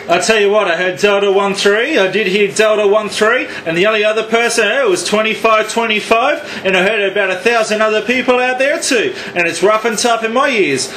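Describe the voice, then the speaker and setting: An Australian man operates a CB radio in a notably high voice. He talks in on-air slang and showy, repeated sign-offs. A light bed of radio static and noise sits around him. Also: a little fast, hardly any pauses, pushed and bright, poor audio. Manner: repetitive, showy catchphrases. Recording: static-laced radio ambience, background noise.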